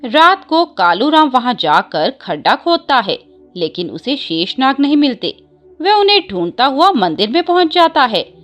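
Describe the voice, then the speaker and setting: A woman's voice: high-pitched, sing-song, moderate pace, fairly bright tone, faint music behind. A woman narrating a scripted devotional story as a storyteller.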